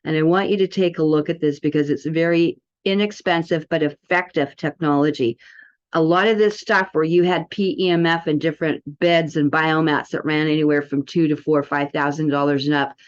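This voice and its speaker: A woman's voice: deep voice, steady tone, a little fast. A woman giving a casual product demo, chatty and enthusiastic as she speaks directly to viewers. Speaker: presenter demonstrating a health product. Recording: dull, lo-fi sound.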